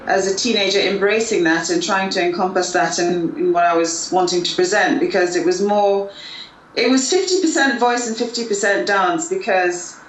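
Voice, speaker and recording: A woman's voice: mid-range voice, moderate pace. Chatty woman singer in a roomy space. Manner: relaxed, chatty, tags questions. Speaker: interview guest, singer. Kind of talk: casual chat. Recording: roomy, distant mic, faint noise.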